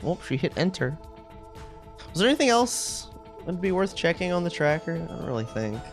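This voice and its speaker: A video game streamer chatting casually with viewers on a live stream, rambling and thinking out loud. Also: medium pitch, big pitch swings, normal speed, soft background music.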